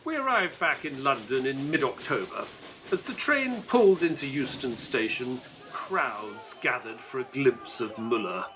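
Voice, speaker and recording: An actor playing an earnest Victorian gentleman doctor who narrates a case in an audio drama. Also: medium pitch, animated, unhurried, background noise, lightly acted. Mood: earnest storytelling.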